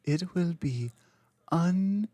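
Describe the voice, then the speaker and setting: A woman's voice: fairly low pitch, lively intonation, no echo, natural voice. Woman playing a cryptic ancient dragon queen. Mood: cryptic, commanding. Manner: slow, cryptic, commanding, drifting into soft whispers. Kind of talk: ASMR roleplay audio.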